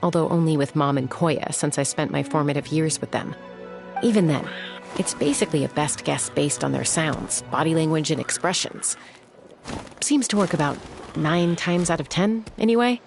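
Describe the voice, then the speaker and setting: A low, frank young game heroine. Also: female voice, normal speed, vocal fry, soft background music. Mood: thoughtful, casual. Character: concerned young woman raised among wild beasts, steady and frank. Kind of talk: video game voice acting.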